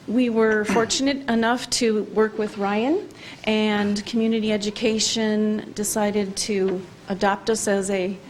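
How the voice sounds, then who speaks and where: A woman, a parent and program organizer, presenting to a city council in a hesitant way with many pauses and fillers. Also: mid-range voice, a little hiss or hum. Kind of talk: public presentation at council meeting. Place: council meeting room.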